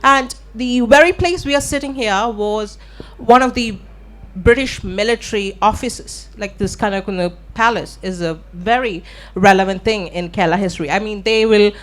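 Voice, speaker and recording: Indian-accented woman, earnest and halting. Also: medium pitch. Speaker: audience member asking a question. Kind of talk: long spoken question at a live event. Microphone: shared handheld event microphone.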